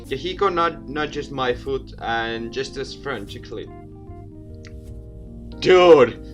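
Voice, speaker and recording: A man plays host to a visual novel playthrough. He reads the text theatrically, tripping over words and adding casual comments. His voice sits at a middling pitch. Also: unhurried, music in the background. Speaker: video game let's player, host.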